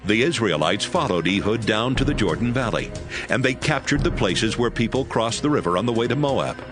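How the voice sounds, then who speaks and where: A man narrating scripture in a steady, measured storytelling voice. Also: mid-range voice. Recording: narration over background music.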